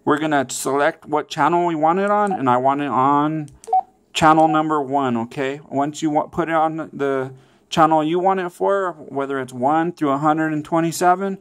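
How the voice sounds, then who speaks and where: A man with a fairly high, clean and smooth voice walks through instructions step by step. His delivery is casual and informal, and he keeps pausing to check in as he teaches. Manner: repeatedly checks in with "okay" and "all right". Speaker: tutorial presenter.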